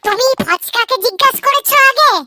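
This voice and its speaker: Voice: extremely high pitch, brisk, bright, forceful tone, no echo, soft background music, exaggerated voice. A performer voicing a cheeky, argumentative village youngster with a West Bengal accent in a comedy cartoon. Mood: mock-official. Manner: cheeky, argumentative, mock-innocent. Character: cheeky squeaky village youngsters needling their uncle.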